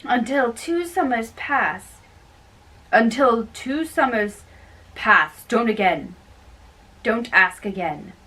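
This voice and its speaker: A woman doing an amateur dramatic reading of a play, haltingly, often repeating and correcting her lines. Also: on the high side, lively intonation, sharp tone, recorded from a distance, rough recording.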